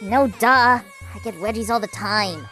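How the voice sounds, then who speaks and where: A woman voicing a whiny, excitable kindergarten boy in a cartoon comic story, with drawn-out exclamations. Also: medium pitch, faint music behind. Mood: whiny, indignant. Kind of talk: cartoon comic-book acting.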